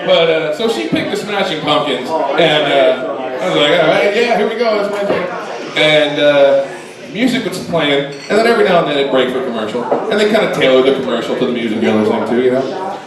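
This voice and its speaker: Casting: forties male stand-up, higher voice, rambling self-mockery, echoey club taped from afar with loud crowd laughs. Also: lively intonation, fairly quick. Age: 40s. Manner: self-deprecating, rambling with fillers and restarts. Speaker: stand-up comedian. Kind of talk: stand-up comedy set. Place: comedy club stage with audience. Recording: echoey club room from a distance, audience laughter.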